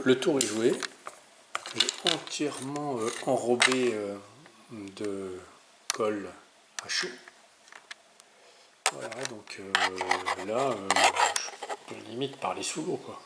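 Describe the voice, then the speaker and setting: A man talks through a hands-on DIY tutorial in an informal, improvised manner. He hesitates and corrects himself as he goes, at an ordinary medium pitch. The sound is roomy, with some background noise. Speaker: DIY tutorial host on a sailing channel. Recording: roomy echo, clear background noise, clicks.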